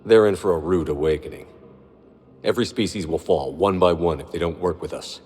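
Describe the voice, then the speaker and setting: An actor playing a resolute military commander in a video game, measured, terse and earnest. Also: male voice, low-pitched, darkish tone, breathy edge. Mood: grave. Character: resolute military commander, adult man, grave and steady. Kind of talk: video game dialogue acting. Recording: reverberant hall sound.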